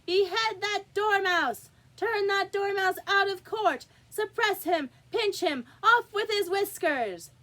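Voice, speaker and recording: A woman's voice: very high-pitched, slow pace, hardly any pauses, sharp tone, pitched above the performer's own voice, exaggerated voice. Shrieking, furious storybook queen. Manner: shrieking barked orders.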